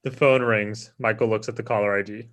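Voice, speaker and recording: A man's voice: fairly low pitch, fairly even intonation, fairly quick, background noise. A man narrating screenplay stage directions in a plain, scripted reading style.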